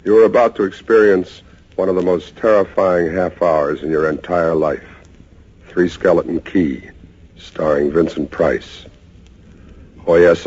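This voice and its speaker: A man with a very low, husky voice introduces a vintage radio thriller. He is solemn and portentous, delivering each phrase deliberately. The recording sounds dull and old. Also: darkish tone. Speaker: radio announcer and show host. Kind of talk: 1950s radio announcing, solemn scripted introduction.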